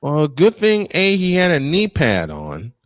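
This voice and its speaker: A man's voice: a little high, huge pitch swings, a little slow, no room sound, clean studio sound. A man calling baseball play-by-play live, mixing it with casual chat in a folksy, exclamatory way.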